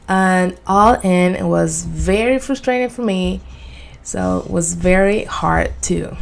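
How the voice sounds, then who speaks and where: A woman from Venezuela with a Spanish accent gives a prepared motivational presentation in earnest, deliberate non-native English. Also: fairly low pitch, creaky, a little mellow. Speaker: student giving a personal speech.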